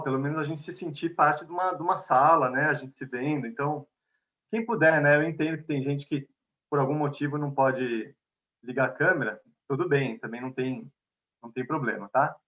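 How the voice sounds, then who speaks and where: A man from Bahia with a São Paulo accent, giving an online talk as a conflict mediator, unhurried and friendly, often adding "né" and "tá". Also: fairly high pitch. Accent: São Paulo Portuguese. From Bahia, Brazil. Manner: warm, unhurried, many "né" and "tá" tags. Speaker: invited speaker, conflict mediator. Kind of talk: online lecture. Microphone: video call. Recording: dull lo-fi video call audio.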